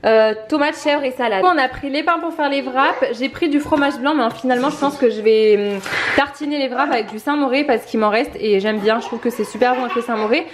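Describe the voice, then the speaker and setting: A woman's voice: high-pitched, a little fast, a little hiss or hum. Chatty woman vlogging with a cold. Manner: chatty, rambling, laughs often. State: congested with a head cold. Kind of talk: casual home vlog. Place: home, indoors. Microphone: handheld camera.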